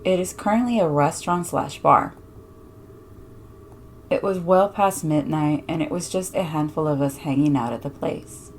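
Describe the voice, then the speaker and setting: Lowish-voiced woman telling a spooky story. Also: moderate pace, a little hiss or hum. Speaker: podcast host reading listener stories. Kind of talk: conversational reading of a spooky anecdote.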